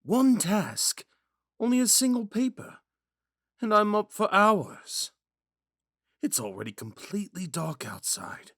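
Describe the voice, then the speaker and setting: Casting: male actor as young romantic prince, gentle and courteous, slow musing delivery with lively pitch swings, intimate dry sound. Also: dry recording, full character voice. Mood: weary, self-mocking. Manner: musing, hesitant, courteous, with sighs and whispered asides. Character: young prince, third in line, wistful, gentle, smitten romantic. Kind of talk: romantic audio roleplay with inner monologue.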